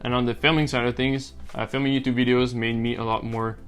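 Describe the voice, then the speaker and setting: Casting: young man, relaxed vlogger, mid-range voice, faint music underneath. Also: mid-range voice, fairly quick. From French-speaking Canada. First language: French. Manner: relaxed, rambling with many uh and um fillers. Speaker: YouTuber. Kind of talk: casual talking-to-camera vlog.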